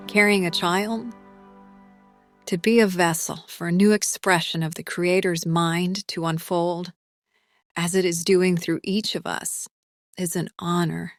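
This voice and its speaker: A woman's voice: on the low side, steady tone, unhurried, dry recording, studio quality, soft background music. An actress playing a serene, thoughtful expectant mother with a reflective manner in an audio drama. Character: serene, thoughtful pregnant mother, a spiritual adept.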